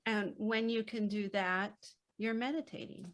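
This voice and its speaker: A woman speaking as a podcast guest in a reflective conversation, gentle, unhurried and reassuring. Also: mid-range voice, steady tone. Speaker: podcast guest, children's book author.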